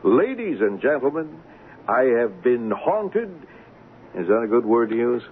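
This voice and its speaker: An actor playing a smooth-talking, persuasive male swindler in a 1970s radio drama. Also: medium pitch, very animated, unhurried. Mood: confident, scheming. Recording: tinny band-limited old radio broadcast, noisy.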